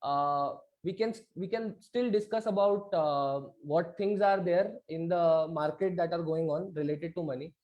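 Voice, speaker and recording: A man's voice: fairly high pitch, unhurried, few pauses, lo-fi sound. A man with an Indian accent teaching an interactive online class, often checking that listeners follow. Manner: checks understanding with frequent tag questions. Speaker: instructor. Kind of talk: interactive online lecture switching between two languages. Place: online video session.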